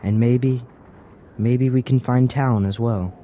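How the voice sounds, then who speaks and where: Man playing a questioning adventurer, earnest, persuasive, mellow dark tone, mid-pitched, formal wording, fantasy audio drama. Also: fairly even intonation, measured pace, a little hiss or hum, lightly acted.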